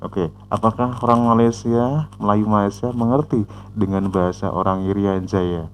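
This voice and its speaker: A man hosting a reaction channel who comments on a video in a measured, explanatory way, often pausing with "eh" and tagging sentences with "ya". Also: fairly low pitch, steady tone, slight background noise. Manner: measured, explanatory, frequent "eh" fillers and "ya" tags.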